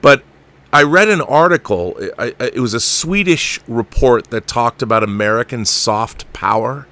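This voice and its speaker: Bright, excitable middle-aged man. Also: medium pitch, normal speed, no room sound. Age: 50s. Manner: excitable, emphatic, restarting phrases for emphasis; profane. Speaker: talk show host. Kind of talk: casual film chat.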